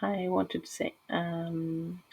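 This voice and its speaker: A woman's voice: lowish voice, flat delivery, slow pace, creaky, a little mellow, breathy edge, poor audio. A woman from Norway with a Norwegian accent, talking casually and hesitantly through a game build with many fillers. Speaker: gaming channel host.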